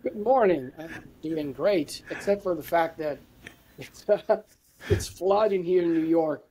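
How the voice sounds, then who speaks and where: An Italian man with an Italian accent speaks as a lecturer being interviewed over a video call. His voice sits a little high for a man, and he answers in a conversational style. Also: measured pace, dark tone, slight background noise, rough recording. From Italy. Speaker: interview guest, university lecturer. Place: indoor room with bookshelves, video call.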